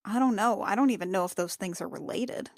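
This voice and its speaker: A woman's voice: mid-range voice, a little fast, no echo, lightly acted. A woman acts a female adventurer in a tabletop game, shaken and full of questions. She talks bluntly, and the sound is very dry. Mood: worried, reasoning.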